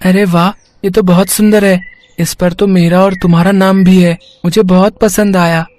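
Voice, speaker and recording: A man's voice: high-pitched, level tone, moderate pace, darkish tone, close to an everyday voice. A man voicing a kind, wise seven-year-old poor boy, a god in disguise, in a Hindi moral story, earnest and encouraging. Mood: delighted.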